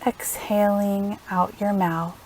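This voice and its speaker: A woman leading a guided meditation in a gentle, soothing manner, unhurried and leaving long pauses between phrases. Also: mid-range voice, level tone, a little hiss or hum, low-quality recording. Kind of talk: guided meditation, slow instructional narration.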